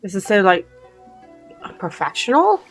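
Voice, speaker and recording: Female gaming host: mid-range voice, relaxed playthrough chatter, reactive and informal. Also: mid-range voice, unhurried, soft background music. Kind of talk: casual playthrough commentary.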